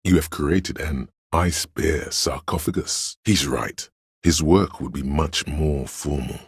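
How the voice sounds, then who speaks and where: Imagination's inner voice, video game narration, grandiose, opinionated, heavily rasping, dry close sound. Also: male voice, no room sound, heavily acted. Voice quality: very deep, gravelly, husky. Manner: theatrical, deliberate, grandiose. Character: inner voice of artistic imagination, pompous, opinionated, coaxing critic. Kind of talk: dramatic second-person game narration.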